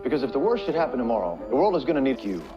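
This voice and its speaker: An actor playing an upbeat mid-century male pitchman selling bomb shelters in an advertisement. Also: very animated, rapid-fire, natural voice. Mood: eager selling. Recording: dull old-broadcast sound, loud music.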